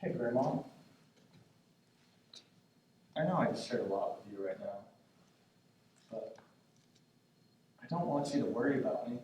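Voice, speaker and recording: A man's voice: mid-range voice, animated, normal speed, warm tone, lo-fi sound, close to an everyday voice. A man acting a tender grandson in his twenties in a stage monologue, confiding in his departed grandmother in a reflective, hesitant, conversational way. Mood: tender, reassuring. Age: 20s. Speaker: stage actor in a student play. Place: indoor stage, recorded from afar. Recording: echoey, distant stage recording with noise.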